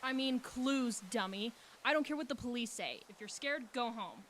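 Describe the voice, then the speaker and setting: Bright, defiant teenage boy played by a woman. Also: a little high, moderate pace, slight background noise, close to an everyday voice. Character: stubborn, dismissive teenage football player boy. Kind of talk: audio drama acting.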